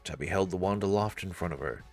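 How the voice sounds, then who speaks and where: A man's voice: low voice, quick pace, a little mellow, soft background music. A man narrating a visual novel as a dramatic story, reading aloud for a let's play.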